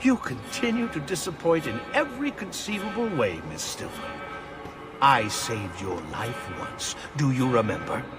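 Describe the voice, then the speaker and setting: An actor playing an older corporate villain in a game cutscene, condescending, theatrical and mock-courteous. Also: male voice, mid-range voice, big pitch swings, moderate pace, over music, lightly acted. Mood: scornful. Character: older male corporate villain, smug, condescending, menacingly polite. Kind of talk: dramatic game cutscene acting.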